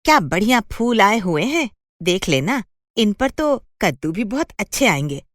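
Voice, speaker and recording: A woman playing a chatty, flattering, inquisitive neighbour in a children's cartoon story. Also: medium pitch, moderate pace, no echo, studio quality, natural voice. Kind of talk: animated children's moral story dialogue.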